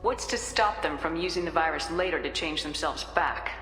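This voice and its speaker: An actress playing a decisive, questioning military commander in a video game, speaking in a direct, terse way. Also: female voice, low-pitched, moderate pace, a bit bright, echoey room, big-room sound, soft background music. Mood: probing, resolute.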